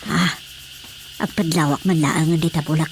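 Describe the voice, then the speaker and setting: A nosy village woman who mutters to herself in exasperation in a radio comedy. Her voice is on the low side for a woman. Faint noise and crickets sit behind her. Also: fairly even intonation, a little slow, vocal fry. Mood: grumbling. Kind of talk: Ilocano radio drama comedy acting.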